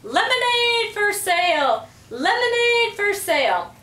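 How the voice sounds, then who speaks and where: Storybook pet parrot, played by a woman: squawky, chattering, boastful, pitched very high, roomy sound. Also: sing-song, slow, bright, forceful tone, full character voice. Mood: squawking sales cry. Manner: squawking, repeats phrases. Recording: roomy, distant microphone.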